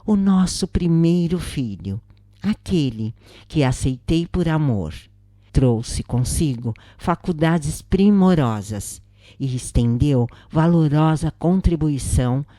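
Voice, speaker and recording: A Brazilian woman narrates a scripted account in a very low voice. Her delivery is slow and measured, with a soft, mellow tone. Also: lively intonation, no room sound. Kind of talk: scripted narration of a first-person spiritist account.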